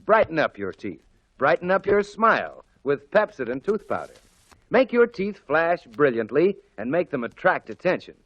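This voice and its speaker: A man's voice: a little high, very animated, normal speed. An American man announcing on 1940s radio in an emphatic, folksy, salesmanlike scripted style. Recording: muffled old 1940s radio broadcast.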